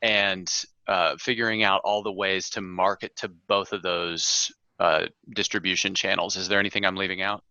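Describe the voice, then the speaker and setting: A man's voice: sits low, little pitch movement, moderate pace, hardly any pauses, sharp tone, smooth, dry recording, dull audio. A man hosting a podcast interview, asking questions in a curious, casual way. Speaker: podcast host.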